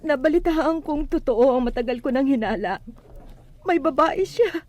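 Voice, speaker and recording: A woman's voice: high voice, lively intonation, normal speed, a little mellow. An actress playing a despairing abandoned wife and mother, plaintive and emotional, in a religious radio drama. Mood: bitter, distraught.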